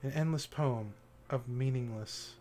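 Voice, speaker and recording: A man's voice: mid-range voice, unhurried, dark tone, a little hiss or hum. A man livestreaming a visual novel, casually commenting and reading the game text aloud, with game music behind him.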